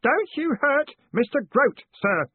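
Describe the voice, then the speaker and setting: A man's voice: very high-pitched, very animated, unhurried, no echo, phone-quality sound, voice pushed up, exaggerated voice. A man voicing an earnest, pedantic boy with an obsessive, thoughtful way of talking, in an audiobook story. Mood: glazed, threatening. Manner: level, thoughtful.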